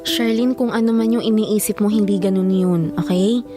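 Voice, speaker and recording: A woman's voice: medium pitch, fairly even intonation, normal speed, music in the background, lightly acted. A Filipino woman playing a loyal, exasperated young office worker in an audio drama. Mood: concerned, exasperated.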